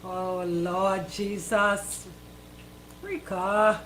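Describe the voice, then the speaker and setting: A woman's voice: mid-range voice, level tone, very slow. A woman co-hosting casual radio banter, chiming in with short interjections. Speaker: radio show co-host. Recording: noticeable background noise and hum.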